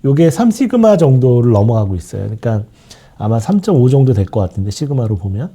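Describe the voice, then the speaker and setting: Husky man with a cold, lecturing. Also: medium pitch, big pitch swings, fast talker, dark tone. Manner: explanatory, leans on fillers and frequent trailing asides. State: sore throat from a cold. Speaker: fund manager lecturing on markets. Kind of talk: market outlook lecture.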